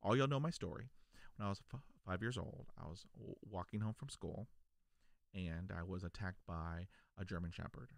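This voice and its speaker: Earnest man who stutters, deep-ish voice. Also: moderate pace, gravelly, no room sound. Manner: stutters with repeated sounds, earnest and encouraging. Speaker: vlogger, podcast host. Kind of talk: personal talk to camera. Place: home studio room, indoors. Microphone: large podcast mic on a boom arm.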